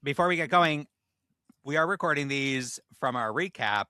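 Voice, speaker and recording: A young man co-hosting a podcast in casual chat, with a playful, animated storytelling manner. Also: mid-range voice, moderate pace, no echo, clean studio sound. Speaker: podcast co-host.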